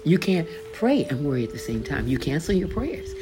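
A woman's voice: sits low, sing-song, brisk, noisy background. A woman author and host reading her devotional book aloud, earnest and conversational, calling the listener beloved. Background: background music.

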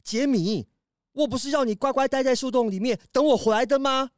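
A woman's voice: high voice, brisk, no echo, clean studio sound, close to an everyday voice. A woman voicing a young male lemur, an earnest and principled older brother, in a children's audio drama with a sincere delivery. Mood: resolute. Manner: sincere, heartfelt.

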